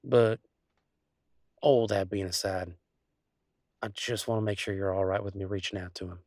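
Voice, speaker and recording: A man's voice: sits low, steady tone, moderate pace, darkish tone, dry recording, close to an everyday voice. An easygoing werewolf boyfriend, acted by a man in an intimate audio roleplay. He rambles in a folksy, affectionate, teasing way. The sound is close and dry. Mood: reflective, reassuring. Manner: folksy, rambling, playful drawl with colloquial turns. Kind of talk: intimate one-sided roleplay audio, conversational acting.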